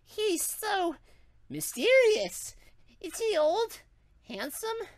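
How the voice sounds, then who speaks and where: A man's voice: extremely high pitch, big pitch swings, very slow, voice pushed up, full character voice. A man voicing a prim, dainty young woman with a melodramatic, earnest manner in a comic story reading. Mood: smitten.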